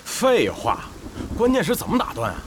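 A man's voice: sits high, huge pitch swings, moderate pace, noisy background. A man playing a brash, sly gamer who schemes gleefully in an audio drama. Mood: brash, scheming. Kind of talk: Chinese audio drama dialogue.

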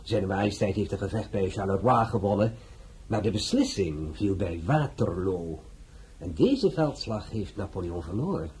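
A man playing a scheming, ingratiating police minister in a radio play, with a smooth, mockingly polite manner. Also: low-pitched, lively intonation, normal speed, warm tone, slight background noise. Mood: sly. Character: sly, scheming male police minister, middle-aged.

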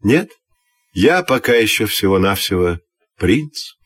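A man's voice: on the low side, big pitch swings, slow pace. A man voicing natural gas as a playful, self-assured young prince in a children's tale. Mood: playful, dignified. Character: invisible natural gas, playful modest blue-flamed prince.

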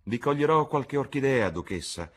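Guy doing a polite young gent from a novel, playful and charming, husky voice, lively pitch. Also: moderate pace, close to an everyday voice. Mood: gallant. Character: polite, charming young gentleman, smooth and flattering.

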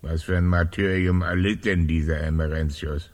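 An actor playing a grumpy, world-weary old knight in a German radio play, curt and dismissive. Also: male voice, sits low, fairly even intonation, normal speed, a little mellow, big-room sound, natural voice. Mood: irritable.

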